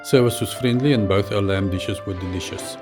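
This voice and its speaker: A man narrates a flying travel vlog in a low voice. His delivery is scripted, measured and factual, with music clearly playing behind him.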